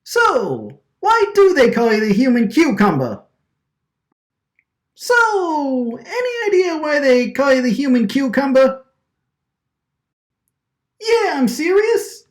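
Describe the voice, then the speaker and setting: A woman plays a brash vacuum-faced wiseguy from a radio drama, pitched high and swinging wildly. He is agitated and indignant, stammering and hammering on his phrases. The recording sounds like an echoey room. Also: wildly swooping pitch, slow, long pauses, full character voice. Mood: puzzled. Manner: agitated, stammering, repeating lines emphatically. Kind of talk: radio drama line takes, gangster-movie wiseguy delivery.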